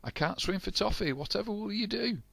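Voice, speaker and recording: An older man voicing Stew, a fretful boy crewmate, in a high wailing voice. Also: lively intonation, brisk, vocal fry, a bit bright, breathy edge, no room sound, exaggerated voice. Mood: panicky.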